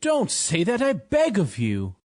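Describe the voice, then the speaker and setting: A man's voice: high-pitched, wildly swooping pitch, unhurried, pitched above the performer's own voice, full character voice. A man voicing a tender-hearted tin man who speaks in a pleading, sympathetic way.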